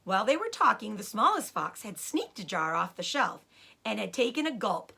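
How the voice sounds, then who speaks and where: A woman reading a children's book aloud in an expressive, dramatic way, with short asides about the pictures. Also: medium pitch, moderate pace, gravelly, breathy edge. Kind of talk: animated storybook read-aloud with picture asides.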